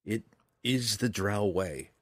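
A man voicing a guarded, solemn male dark elf in a fantasy audiobook, speaking softly and gravely. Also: fairly low pitch, big pitch swings, no room sound, clean studio sound. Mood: calm, matter-of-fact. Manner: soft, measured, grave.

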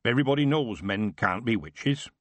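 A man's voice: mid-range voice, expressive, normal speed, fairly bright tone, no echo, close to an everyday voice. A man voicing a dismissive old countryman speaking plainly.

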